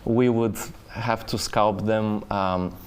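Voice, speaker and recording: A man from Bulgaria talks through his work as a guest painter in an easygoing interview. His voice sits low and sounds smooth and clear. He pauses often, with many fillers and restarts. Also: fairly even intonation. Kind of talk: casual interview talk.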